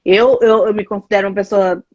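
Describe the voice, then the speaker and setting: A woman's voice: medium pitch, brisk, dry recording, dull audio. A Brazilian woman with a Brazilian Portuguese accent, chatting casually as a guest, telling stories with lots of fillers. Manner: chatty, animated storytelling with many fillers. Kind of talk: casual chat.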